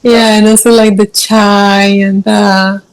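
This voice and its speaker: A woman's voice: medium pitch, flat delivery, takes it slowly. A woman in her fifties from Costa Rica, with a Costa Rican Spanish accent, telling memories in a casual interview, often laughing and repeating words as she searches for them. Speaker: guest. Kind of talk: casual storytelling chat.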